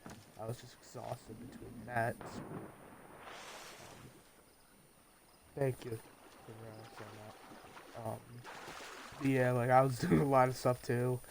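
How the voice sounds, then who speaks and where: A man's voice: medium pitch, level tone, slow pace, creaky, long pauses, background noise. A teenage male streamer with a congested, nasal voice, chatting casually and rambling as he plays. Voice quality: nasal, congested from post-nasal drip. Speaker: video game streamer. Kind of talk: casual chat while gaming on a livestream.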